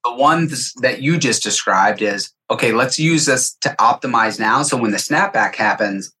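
A man's voice: medium pitch, animated, moderate pace, pushed and bright. Guy on a podcast, sales exec type, normal mid voice, casual, tells it in little quotes. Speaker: podcast guest, software sales executive. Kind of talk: casual interview chat, anecdotal.

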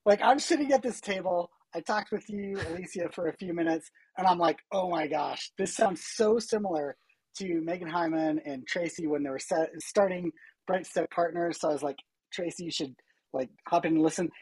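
Co-host: husky woman, chatty and laughing, dry close recording. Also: lowish voice, normal speed, a little mellow, no echo, rough recording. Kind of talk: casual anecdote.

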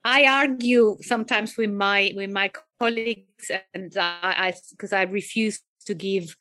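A woman with an Italian accent, a psychiatrist answering interview questions thoughtfully, with frequent pauses and fillers. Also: mid-range voice, fairly even intonation, bright, forceful tone. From Italy. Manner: thoughtful, hesitant with many fillers. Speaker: psychiatrist guest.

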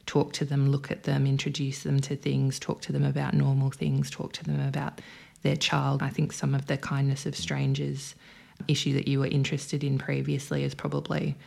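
A woman hosts a podcast and asks long, carefully built questions. Her voice is very flat in pitch, with little rise or fall. It has a gravelly, creaky texture. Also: normal speed. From Australia. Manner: attentive interviewer, gives long setup questions. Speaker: podcast host. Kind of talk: podcast interview.

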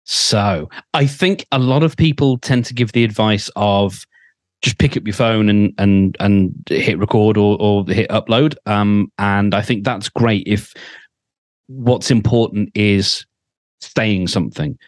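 A man's voice: on the low side, measured pace, no echo, studio quality. A man, a podcast mentor, explaining thoughtfully with many fillers. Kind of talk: advice in a podcast conversation.

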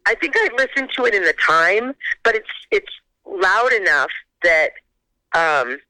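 A woman guest on a phone call, telling a story in a casual, animated way and acting out her own reactions. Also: mid-range voice, measured pace, dry recording.

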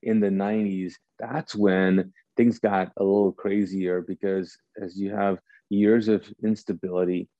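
Deep, flat-voiced man in his forties. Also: no echo, lo-fi sound. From Kuwait. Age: 40s. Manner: halting, thoughtful, searching for words. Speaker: speaker addressing an audience. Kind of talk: personal reflective talk.

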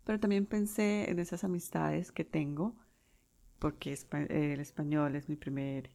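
Colombian woman in her 40s, low gravelly voice, talks off the cuff w/ lots of pauses. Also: fairly even intonation, mellow. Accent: Colombian Spanish. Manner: unscripted, hesitant, pauses and restarts. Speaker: podcast host. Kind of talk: solo podcast monologue.

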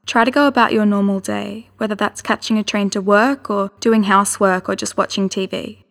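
A woman reading scripted, explanatory narration in a measured, clearly phrased way. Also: medium pitch, fairly even intonation, fairly bright tone, dry recording, clean studio sound.

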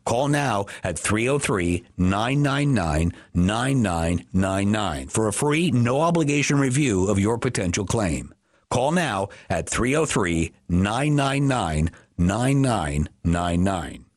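A man reading a radio advertisement at a brisk pace. He slows right down for the phone numbers. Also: fairly low pitch, lively intonation, dry recording, studio quality. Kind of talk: radio ad read.

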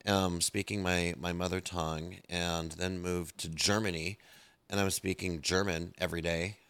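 A man from the United States talks in a relaxed, colloquial way as he hosts an interview. His voice is very low with a gravelly edge. The sound is dry and close, with no room around it. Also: normal speed, no echo. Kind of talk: casual interview chat.

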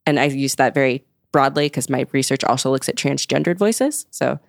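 A young woman with a notably low voice talks through her research in a relaxed, explanatory way, filling her sentences with "like". The sound is close and dry, with no room around it. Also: fairly quick, gravelly, no room sound. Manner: explanatory, uses "like" often. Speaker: guest researcher. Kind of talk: casual podcast chat.

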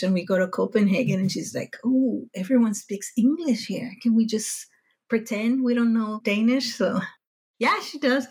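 A Spanish-native woman speaks as a podcast guest, her voice sitting at a moderate everyday pitch. She talks in a loose, chatty way, restarting phrases and correcting herself as she goes. When she recalls frustrating moments, she becomes animated. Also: husky. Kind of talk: casual conversational storytelling.

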